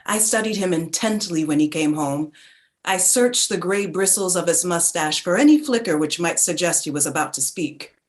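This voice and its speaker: A reflective narrator in a literary stage drama played by a woman, remembering childhood. Her voice is a little low. She reads in a measured, deliberate way. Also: close to an everyday voice. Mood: reflective remembrance. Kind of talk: staged reading of a literary play.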